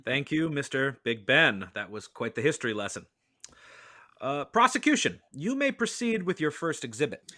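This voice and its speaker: A man presiding as judge over a mock trial, moderating in a halting, courtly, mock-formal manner. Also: medium pitch, big pitch swings, bright, forceful tone, no echo, clean studio sound.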